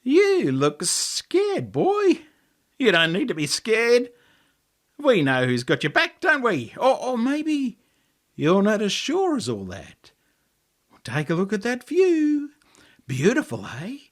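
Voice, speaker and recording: A man's voice: wildly swooping pitch, takes it slowly, heavily acted. A man playing a taunting, menacing male tempter in a story, with an Australian accent and a mocking, slangy way of goading. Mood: mocking, needling. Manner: mocking, goading, slangy, pitch leaping upward.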